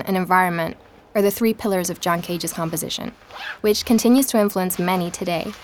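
An actress playing a podcast host, narrating in a calm, reflective way for an audio drama. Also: female voice, fairly low pitch, slight background noise, natural voice. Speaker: podcast narrator and investigator Emma.

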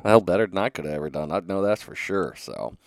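Guy hosting the chat, deep voice but bouncy, laughs a lot, dry sound. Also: lively intonation, quick pace, creaky, no echo. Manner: laughs often, friendly, rambling questions. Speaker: podcast host. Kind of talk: casual interview.

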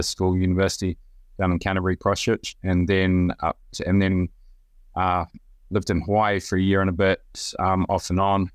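Deep-voiced New Zealand man, relaxed. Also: no echo, clean studio sound. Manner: relaxed, rambling with many restarts and fillers. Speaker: guest, brand director. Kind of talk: casual podcast interview.

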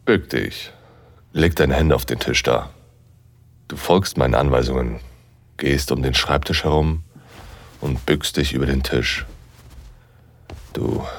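A man playing a dominant, seductive professor in an intimate audio drama, speaking slowly and deliberately, at times hushed and breathy. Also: very deep voice, dry recording, exaggerated voice. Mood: commanding, teasing. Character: dominant male university professor, seductive, teasing, commanding lover. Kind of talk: intimate second-person erotic audio drama narration.

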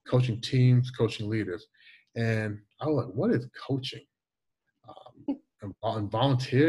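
A man in his forties with a Jamaican accent and a slight lisp, telling stories as an interview guest in an animated way, often repeating and restarting words. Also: medium pitch, husky. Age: 40s. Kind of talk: conversational storytelling interview.

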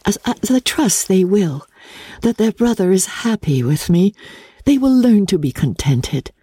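A woman voicing a gentle, joyful, tender-hearted young Regency gentlewoman in an earnest, effusive way for an audiobook. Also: lowish voice, slightly rough, no room sound, clean studio sound, pitched above the performer's own voice.

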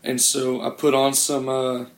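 A man hosting a podcast in casual, profane chat, hoarse after losing his voice, rambling and laughing at his own bad luck. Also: medium pitch, monotone, measured pace. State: hoarse from a lost voice. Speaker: podcast host. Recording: echoey room.

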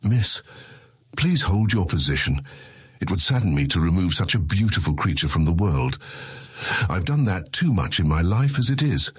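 An actor playing a courteous, weary old veteran guard with a husky voice in a fantasy audiobook. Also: male voice, deep voice, sing-song, normal speed, no echo, clean studio sound, heavily acted. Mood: sad warning. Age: older. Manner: formal, gently world-weary. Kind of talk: dramatized fantasy audiobook acting.

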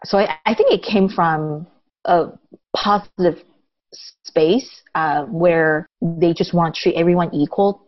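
A woman who grew up in Taiwan, a guest in a casual interview, talking in a thoughtful and unhurried way with lots of pauses. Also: on the low side, no room sound. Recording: dull lo-fi dry audio.

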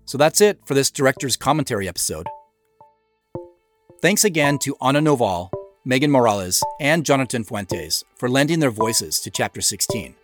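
A man delivers scripted commentary as a show's creator. His mid-pitched voice moves in a lively way, with music playing clearly behind him. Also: moderate pace.

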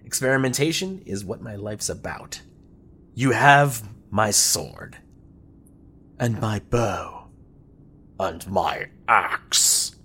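An actor playing an eager, grandiose countercultural hacker in an audio drama, wordy and declamatory. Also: male voice, lowish voice, takes it slowly, long pauses, sharp tone, slightly rough, heavily acted. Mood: grandiose, hopeful. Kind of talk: scripted audio drama acting.

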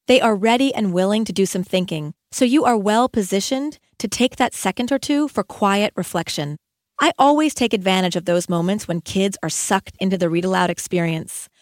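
A woman educator reading her own audiobook as scripted narration, with measured, emphatic phrasing and deliberate pauses. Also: medium pitch, dry recording, studio quality.

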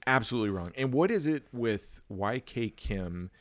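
A man's voice: mid-range voice, lively intonation, moderate pace, no room sound. A man hosting a movie podcast in casual chat, thinking aloud in a rambling way.

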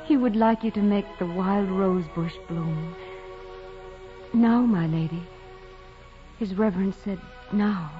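A woman's voice: mid-range voice, slow pace, pauses often, soft background music, natural voice. An actress playing a humble, devout young peasant girl in an old radio drama, earnest, simple and respectful. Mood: eager, wondering. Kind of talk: 1940s radio drama acting. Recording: dull old radio recording.

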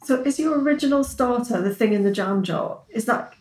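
A woman's voice: fairly high pitch, darkish tone, reverberant, low-quality recording. A woman hosting a casual food podcast chat, recapping her guest's points and asking follow-up questions. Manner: friendly, recaps the guest's points, quick back-channel replies. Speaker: podcast host.

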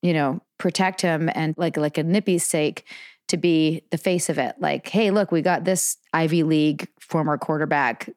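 A woman's voice: low-pitched, fairly even intonation, moderate pace, a little mellow, no room sound, studio quality. A woman co-hosting a podcast in casual conversation, reflective and hedging as she talks. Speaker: podcast co-host.

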